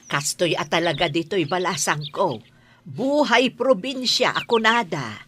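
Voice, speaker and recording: Woman acting welcoming provincial store-keeping aunt, animated, bright, familiar, audio drama, faintly noisy track. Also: lowish voice, a little slow. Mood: welcoming, teasing. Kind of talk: Philippine radio-style audio drama acting.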